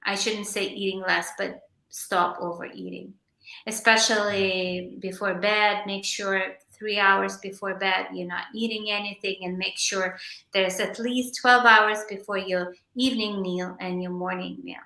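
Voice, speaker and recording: A woman in her forties, a naturopathic doctor, explaining health topics in a measured way during a casual podcast chat. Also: mid-range voice, steady tone, sharp tone, roomy sound, poor audio. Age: 40s. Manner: explanatory, measured, occasionally hesitant.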